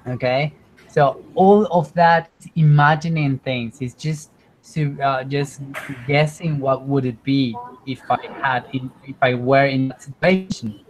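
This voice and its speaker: Man, online English teacher, slow, patient, repeats himself, laptop mic. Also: mid-range voice, slight background noise, poor audio. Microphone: computer mic on a video call.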